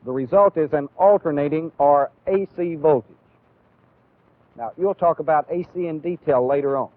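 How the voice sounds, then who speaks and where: An American man giving a methodical, deliberate instructional lecture as a training film instructor. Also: on the high side. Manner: deliberate, methodical, clearly explanatory. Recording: phone-like, band-limited old training film audio.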